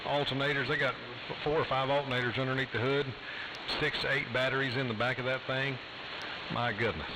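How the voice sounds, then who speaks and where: A man's voice: medium pitch, fairly even intonation, a little fast. A man chatting casually in folksy rural phrases as a CB radio operator. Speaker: CB radio operator, channel regular. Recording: very noisy, hissy live CB radio stream.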